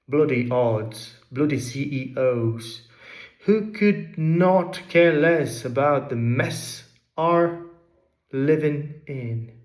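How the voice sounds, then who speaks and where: A man's voice: mid-range voice, roomy sound, rough recording. A man with a Derbyshire accent telling a personal story in an earnest, brooding first-person voice. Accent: Derbyshire English.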